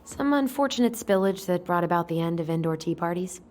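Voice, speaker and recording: An actress playing a teasing, supportive young sister in a video game, with an American accent. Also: female voice, lowish voice, lively intonation, brisk, a little mellow, lightly acted. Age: young adult. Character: teasing, supportive young woman, loyal sibling. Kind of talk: naturalistic game dialogue.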